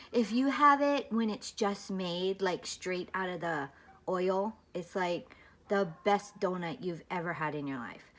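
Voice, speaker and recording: A woman's voice: mid-range voice, fairly bright tone. A woman from Hawaii talking casually to the camera as a vlogger, telling stories in a chatty, rambling way with bits of local slang.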